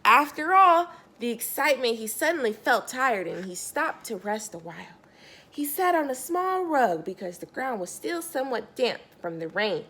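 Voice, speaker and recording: A woman's voice: on the high side, big pitch swings, moderate pace, bright, forceful tone. A woman reading a picture book aloud to young children, expressively, stressing key words and speaking directly to her listeners.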